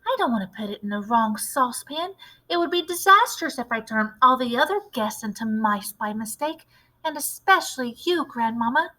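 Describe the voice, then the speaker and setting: A woman voicing an eager, brave little boy who has been turned into a mouse, earnest and excitable, for a storybook. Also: a little high, moderate pace, rough recording. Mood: thoughtful.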